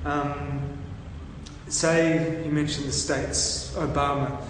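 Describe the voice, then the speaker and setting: Slow, halting New man in an echoey room. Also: on the high side, flat delivery. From New Zealand. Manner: slow, halting, thinking aloud with many ums. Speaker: discussion participant. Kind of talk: casual brainstorming chat. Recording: echoey room with long reverb, from a distance, faint noise.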